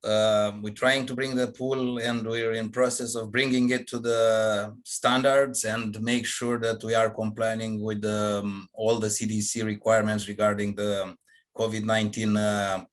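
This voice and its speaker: A middle-aged man talks slowly, his pitch staying flat, and he stops often for fillers. He speaks on a video call from a small office. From Romania. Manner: halting, many fillers. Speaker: plant operations manager.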